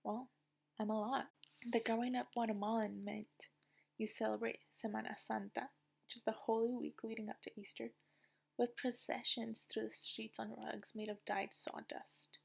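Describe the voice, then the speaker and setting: Young woman, essay reader, soft half-whispered read, fairly high but flat pitch. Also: moderate pace, creaky, husky. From United States. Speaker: narrator of a personal essay. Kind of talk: reading a personal essay aloud.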